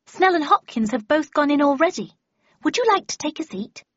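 A woman's voice: high-pitched, lively intonation, fairly quick, no room sound, highs cut off, pitched above the performer's own voice. A woman voicing a polite, deferential washerwoman in an audiobook, with a courteous manner.

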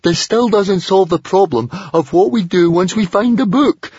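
A man's voice: sits high, moderate pace, darkish tone, slightly rough, no echo, dull audio, voice pushed up. A man voicing a gloomy, stubborn young boy in a storybook, whining with sarcasm. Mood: gloomy protest.

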